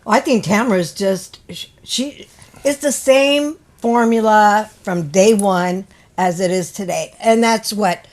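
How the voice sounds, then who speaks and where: A woman's voice: medium pitch, slow, darkish tone. A woman in her seventies chatting casually as a guest, blunt and dismissive, often restarting her sentences. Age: 70s. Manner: blunt, dismissive, stumbles over words. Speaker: guest, co-host's mother. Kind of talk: casual chat.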